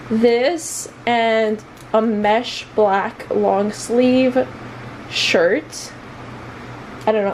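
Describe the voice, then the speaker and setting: Woman, somewhat high voice, casual vlogger, rambling, self-deprecating, noticeable background noise. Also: a little mellow, poor audio. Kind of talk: casual chatty vlog.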